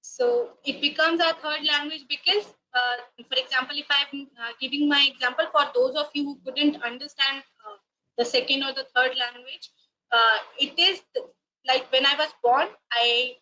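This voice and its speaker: A woman's voice: sits high, steady tone, normal speed, bright, forceful tone, a little hiss or hum. A woman with an Indian English accent, a native Rajasthani speaker, giving a webinar talk with frequent hesitations. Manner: many hesitations, polite and explanatory. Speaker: webinar speaker, language teacher. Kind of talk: lecturing in a webinar. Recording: dull lo-fi online call audio.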